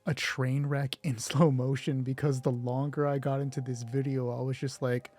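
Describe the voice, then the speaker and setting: A man's voice: mid-range voice, flat delivery, normal speed, a little mellow, no echo. A man hosting a solo roleplay show in casual, rambling, self-deprecating commentary, swearing offhandedly. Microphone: close mic with gain set high.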